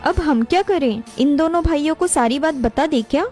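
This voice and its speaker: A woman's voice: high-pitched, normal speed, darkish tone, faint music behind, natural voice. A woman voicing a deferential, worried younger daughter-in-law in a cartoon story.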